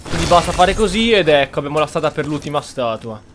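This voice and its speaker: A man's voice: on the high side, animated, quick pace, background noise. A man hosting a gaming channel, giving let's play commentary. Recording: faint game ambience behind commentary. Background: game sound.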